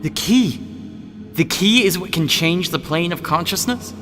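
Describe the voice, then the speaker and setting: An actor playing an awed male seeker of cosmic knowledge in a radio play, earnest and deliberate, with long cavernous reverb. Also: high-pitched, lively intonation, music in the background. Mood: eager wonder.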